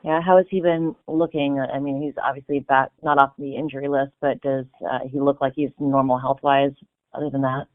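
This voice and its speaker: A man's voice: mid-range voice, level tone, a little fast, no echo. A man working as a sports reporter, putting questions at a press conference over a phone line. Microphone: phone conference call. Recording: thin phone line, dry.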